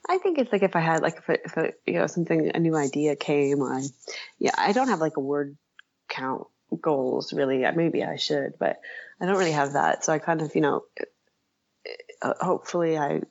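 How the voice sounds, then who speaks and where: Woman with a creaky voice chatting in an interview, kinda unsure and trailing off, dull lo-fi audio. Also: low voice, animated, darkish tone. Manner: halting, self-deprecating, trailing off. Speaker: interview guest, author. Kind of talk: casual conversational interview.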